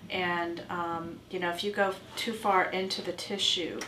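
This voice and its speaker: A woman playing a reassuring oncology massage therapist in an amateur role play, explaining in a halting way. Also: fairly low pitch, little pitch movement, pushed and bright. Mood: reassuring, explanatory. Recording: roomy, distant mic with faint noise.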